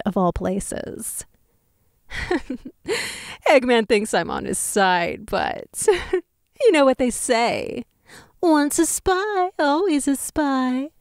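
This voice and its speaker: A sly, flirty female bat spy with a high, sultry voice, voiced by a woman. She talks slowly and teasingly. The sound is dry, with no room around it. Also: clear, resonant tone, no echo, exaggerated voice.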